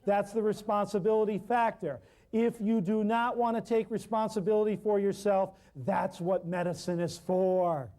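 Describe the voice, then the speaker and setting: A man teaching a natural healing workshop to a live class, talking loudly and emphatically in a folksy way, with lots of repeated lists of words. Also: high-pitched, fairly even intonation, normal speed.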